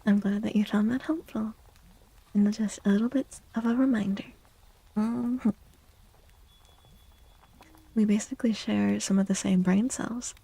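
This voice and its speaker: A woman streaming a soft-spoken ASMR tarot reading close to the mic, often slipping into a breathy whisper, with a playful, cutesy, affectionate manner. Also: mid-range voice, steady tone, measured pace, long pauses, no echo, rough recording. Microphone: close microphone.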